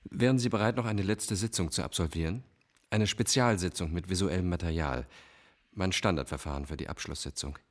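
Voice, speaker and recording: Manipulative male doctor villain , played by an actor, low register, courteous, controlled, for a radio thriller. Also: a little fast, lightly acted. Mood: smooth, controlled. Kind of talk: radio crime drama acting.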